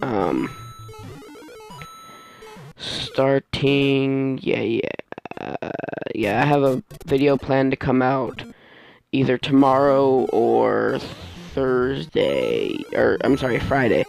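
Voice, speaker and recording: A man giving casual let's play commentary as host, rambling and halting as he often loses his train of thought. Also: mid-range voice, animated, gravelly, a little mellow, soft background music. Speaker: gaming video host.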